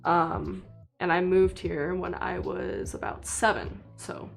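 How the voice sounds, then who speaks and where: A young woman from China, a native Mandarin speaker, chatting casually with viewers on a livestream in a relaxed, rambling way full of fillers. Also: lowish voice, slow, gravelly, faint music behind. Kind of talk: casual live-stream chat with viewers.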